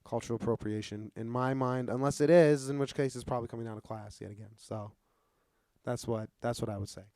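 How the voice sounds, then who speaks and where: A man's voice: medium pitch, fairly even intonation, a little fast, dark tone, no echo. A man hosting a podcast, arguing his point and probing his co-host's words. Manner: argumentative, probing, picks words carefully. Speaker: podcast host and comedian. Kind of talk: casual podcast chat.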